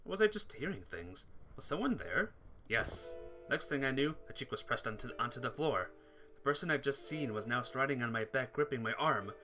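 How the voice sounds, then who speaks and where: Bright, animated man streaming a game. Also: medium pitch, normal speed. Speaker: streamer playing a visual novel. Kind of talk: casual gameplay commentary and reading game text aloud. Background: game music.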